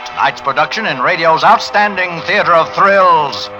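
A man's voice: on the high side, animated, moderate pace, highs cut off, natural voice. A male program announcer introducing a show in mid-century radio suspense style. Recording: vintage radio broadcast over loud music.

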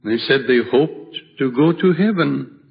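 Gentle old hermit, muffled recording. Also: male voice, medium pitch, unhurried, gravelly. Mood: serene, solemn. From Switzerland (the figure). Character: gentle old hermit, a kindly, serene widower. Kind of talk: old-time radio drama acting. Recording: dull vintage radio recording.

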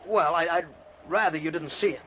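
Radio play: male actor as nervous, jealous husband, high voice, halting bluster, hissy recording. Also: a bit bright, close to an everyday voice. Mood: uneasy. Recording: dull lo-fi radio recording, background hiss.

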